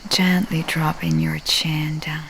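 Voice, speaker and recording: Casting: female meditation guide, low and very even, soft half-whispered soothing delivery, long pauses between phrases. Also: creaky, slight background noise, low-quality recording.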